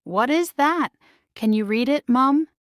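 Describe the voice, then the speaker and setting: A spirited young princess from a children's storybook, played by a woman. She speaks in a bold, informal, exclamatory way, in a clear, fairly high voice. The sound is dry and close. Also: animated, a little slow, no room sound. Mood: curious. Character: spirited, eager young princess, playful daughter. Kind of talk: children's storybook character reading.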